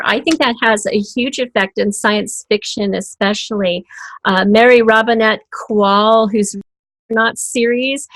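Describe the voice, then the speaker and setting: A woman's voice: on the high side, no room sound, poor audio. A woman talking as a guest in a conversational interview, explaining her ideas thoughtfully. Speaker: podcast guest, audio drama writer.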